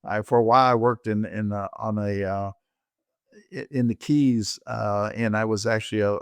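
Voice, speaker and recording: A man in his sixties or older who grew up in Colombia, telling his own story conversationally in a halting way. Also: lowish voice, no echo, studio quality. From Colombia, raised by American parents. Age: 60s or older. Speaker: interview guest, orthopedic surgeon.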